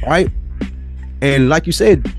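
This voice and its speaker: A man's voice: a little high, animated, slow. Slangy, emphatic man talking over faint music. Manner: slangy, profane, emphatic repetition. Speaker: podcast co-host. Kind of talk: candid casual conversation.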